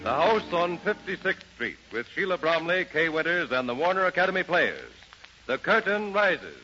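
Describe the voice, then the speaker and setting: A man's voice: fairly high pitch, very animated, normal speed. A man giving a formal scripted introduction as a 1930s radio program announcer. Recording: dull 1930s radio recording. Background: music.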